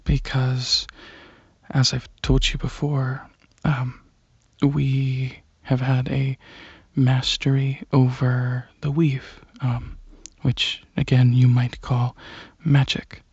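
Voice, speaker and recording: Soft, husky, hesitant alien dragon played by a man. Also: mid-range voice, completely flat delivery, no echo, dull audio. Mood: explaining. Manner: hesitant, halting, softly earnest. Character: shy, gentle alien dragon host, curious about Earth. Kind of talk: ASMR roleplay, soft rambling monologue.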